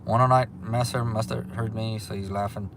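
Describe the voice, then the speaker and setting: A man's voice: lowish voice, fairly even intonation, moderate pace, noisy background. Easygoing Louisiana man in his forties. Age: 40s. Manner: easygoing, repeats himself, frequent ums. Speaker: livestream host. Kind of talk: casual livestream chat reading viewer comments. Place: restaurant. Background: faint restaurant ambience.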